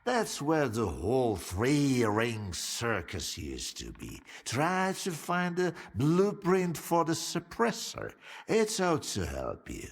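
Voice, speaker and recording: An actor playing a boozy, sardonic older scientist in a video game, theatrical and philosophising, with a husky, gravelly voice. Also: male voice, medium pitch, huge pitch swings, slow pace, long reverb tail, heavily acted. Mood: sardonic, rambling. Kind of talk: video game cutscene acting.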